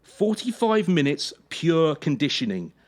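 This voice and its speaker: Lively, demanding male trainer, played by an actor. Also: a little high, unhurried, slight background noise. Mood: commanding. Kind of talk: radio play acting.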